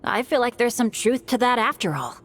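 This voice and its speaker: A woman's voice: a little high, big pitch swings, a little fast, slight background noise. A woman voicing an earnest, good-natured, unlucky teenage boy adventurer in a video game, with a boyish voice. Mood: sincere.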